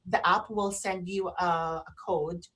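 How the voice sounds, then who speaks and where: A woman co-hosting an online radio show, chatting casually and explaining things in a halting way. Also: on the low side, fairly even intonation, a bit bright. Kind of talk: casual talk, explaining and giving shout-outs.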